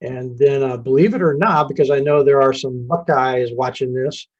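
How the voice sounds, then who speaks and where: A man's voice: mid-range voice, normal speed. A man of about sixty chats as an interview guest, rambling into friendly stories. His voice sits in a middle range. The call audio is dull and lo-fi. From Indiana and Ohio, USA. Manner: chatty, folksy storyteller. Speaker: business owner guest. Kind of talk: casual interview chat.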